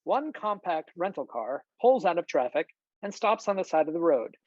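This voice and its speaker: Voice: medium pitch, very animated, moderate pace, a little mellow, no room sound. A narrator reading out screenplay stage directions in scripted narration over a video call. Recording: dull, lo-fi video call audio.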